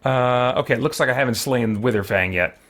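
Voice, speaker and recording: A man giving casual, halting live-stream commentary on a game, thinking aloud as he goes. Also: mid-range voice, fairly bright tone.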